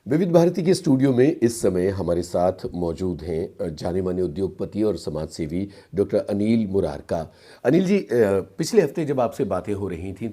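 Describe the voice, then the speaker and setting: A man's voice: low-pitched, mellow, slightly rough. A man interviewing politely in a radio studio, with courteous, slightly hesitant phrasing.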